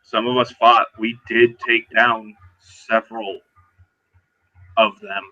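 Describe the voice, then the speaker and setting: A man playing a weary, guarded but determined werewolf survivor in a tabletop roleplay, speaking haltingly and low-key. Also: level tone, bright, forceful tone, muffled recording. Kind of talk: in-character tabletop roleplay dialogue.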